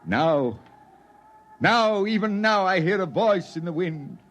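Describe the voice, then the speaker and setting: A man's voice: high voice, lively intonation, slow pace, fairly bright tone, exaggerated voice. A man playing a dying, wild-bearded old prophet with a solemn, biblical way of speaking in a 1950s radio drama. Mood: exalted. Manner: solemn, biblical preaching cadence.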